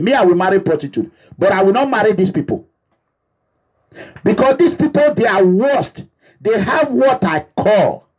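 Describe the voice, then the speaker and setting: A man's voice: high voice, a little mellow, husky. A Nigerian man, an activist leader, giving an impassioned, repetitive address to his supporters in a preaching manner. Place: abroad, away from home.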